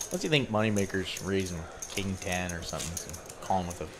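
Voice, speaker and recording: A man giving play-by-play poker commentary, calling the action briskly and matter-of-factly. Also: deep voice, expressive, background noise.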